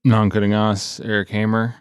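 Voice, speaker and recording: A man's voice: fairly low pitch, completely flat delivery, normal speed, smooth. A man in his thirties from coastal Alaska, telling his story casually and informally. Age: 30s. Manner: informal, frequent "like" and "you know".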